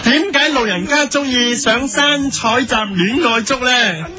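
A man's voice: very high voice, unhurried. An actor playing a cheerful but wistful elderly villager who chuckles and sighs as he rambles in a mock documentary radio comedy. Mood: jovial, chatty. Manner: chuckling, sighing, rambling storyteller.

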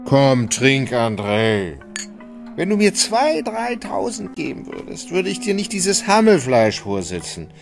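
An actor playing a gentle, melancholy and sluggish gentleman in a German radio play, with an emotional, wavering delivery. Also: medium pitch, huge pitch swings, measured pace, vocal fry, faint music behind, full character voice. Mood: tipsy, effusive. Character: gentle, sluggish, melancholy Russian gentleman, sentimental and easily moved.

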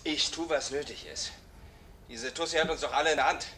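A man's voice: a little high, a little fast, background noise, lightly acted. An actor playing a crude, distrustful gangster in a German radio crime drama, scornful and coarse in his talk. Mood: angry, suspicious.

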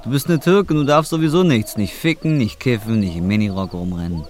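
A man's voice: on the low side, a little fast, a little mellow, natural voice. An actor playing a down-and-out man in his twenties, blunt, weary and brooding, in an audio drama. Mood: scornful. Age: 20s. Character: jaded, down-and-out young man, brooding and defiant. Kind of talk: audio drama acting with inner monologue. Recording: faint background noise.

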